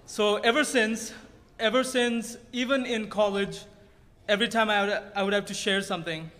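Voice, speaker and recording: High-voiced man. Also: fairly even intonation, moderate pace, pushed and bright. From Nepal. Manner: earnest storytelling with light humor, restarts. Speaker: guest speaker at church. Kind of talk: personal testimony from a pulpit. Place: large church sanctuary stage. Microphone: podium microphone. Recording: reverberant sanctuary sound.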